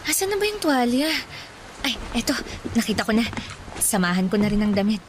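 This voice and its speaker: An actress playing a devoted young daughter, earnest and caring in a radio drama. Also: female voice, on the high side, sing-song, a little fast, background noise. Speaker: voice actor.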